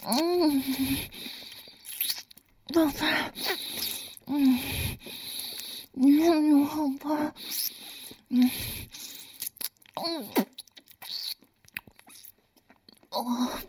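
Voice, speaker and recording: A woman voicing a teasing, doting older lover in a whispered audio roleplay, speaking in a soft, coaxing, breathy whisper. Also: fairly high pitch, takes it slowly, pauses often. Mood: heated.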